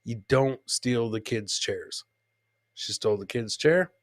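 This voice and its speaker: A man's voice: mid-range voice, slow pace, no echo, clean studio sound. A man hosting a live comedy clip show, joking and teasing as he comments on videos and repeats his punchlines.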